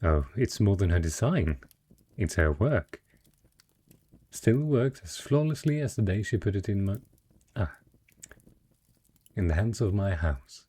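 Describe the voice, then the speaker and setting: Suave fantasy merchant prince played by a man, deep and breathy-rough, mellow, formal, seductive, talking intimately to one listener. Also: sing-song, measured pace, pauses often, exaggerated voice. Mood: amused, flirtatious. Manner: courtly, formal, smooth-talking flirtation. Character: wealthy male merchant patron, secretly a dragon, suave and possessive. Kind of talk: romantic fantasy audio roleplay addressed to the listener.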